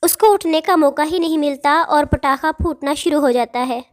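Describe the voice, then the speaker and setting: A woman narrates a children's cartoon tale from a script. Her voice is high in pitch. She tells the story as a narrator, in complete read sentences. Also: moderate pace.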